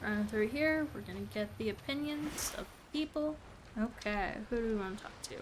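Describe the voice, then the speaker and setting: A woman's voice: fairly high pitch, expressive, fairly quick, background noise. A woman streamer giving casual live gameplay commentary in short asides, sometimes reading game lines aloud. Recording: game music behind the voice.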